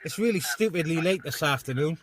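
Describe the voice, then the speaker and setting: A man's voice: on the high side, animated, a little fast, crowd noise. A man chatting casually and a little hesitantly as he hosts a CB radio net. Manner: hesitant, informal. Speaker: CB radio net host and vlogger. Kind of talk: casual rambling chat to camera and radio. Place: outdoors on a hilltop by a car.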